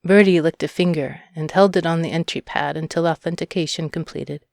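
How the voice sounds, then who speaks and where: A woman's voice: low-pitched, steady tone, brisk, vocal fry, a little mellow, dry recording, studio quality. A woman narrating a short story from a script. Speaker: audiobook narrator.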